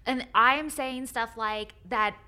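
Woman, middle-aged, podcast co-host, bright voice, fairly high, animated, rambling casual chat. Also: unhurried, clean tone. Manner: animated, talks in long run-on stretches with restarts; laughs often. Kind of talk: casual chat recapping a reality show.

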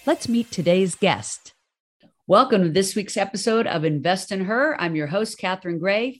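Woman, podcast host, effusive, fairly low pitch, light music behind. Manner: upbeat, effusive, with many fillers. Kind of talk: scripted intro then interview chat.

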